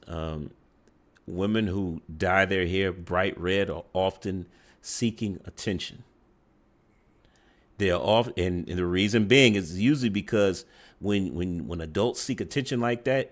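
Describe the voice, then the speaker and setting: A man commenting on a dating show clip in a judgmental tone, halting with frequent fillers and restarts, often tagging his points with "right?". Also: sits low. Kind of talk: reaction commentary.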